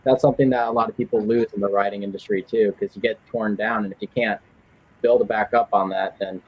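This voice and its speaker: A man's voice: lowish voice, steady tone, quick pace, breathy edge, lo-fi sound. A young man, a college student and writer, chatting casually and self-deprecatingly on a video call, laughing often. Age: young adult. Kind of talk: casual chat.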